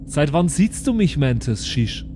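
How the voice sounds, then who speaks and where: A man puts on an excitable, naive alien son for an improvised audio play. His voice sits a bit high and swings widely in pitch. He talks in childlike broken grammar with a recurring verbal tic, over faint noise. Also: a little slow. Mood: insistent.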